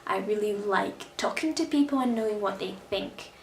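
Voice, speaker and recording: A young Brazilian woman whose first language is Portuguese, speaking English with a mixed Brazilian, American and British accent in a chatty, animated vlog, talking from her bedroom. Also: medium pitch, moderate pace, reverberant, slight background noise. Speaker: vlogger. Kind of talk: casual direct-to-camera vlog.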